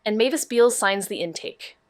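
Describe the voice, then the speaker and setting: A quick-witted, determined woman investigator played by an actress in a noir mystery audio drama. Her voice sits at a middle pitch in a dry, close recording. The delivery is natural and conversational, with a playful streak. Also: no room sound, natural voice. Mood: focused, investigative. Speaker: actor in a neo-noir mystery podcast.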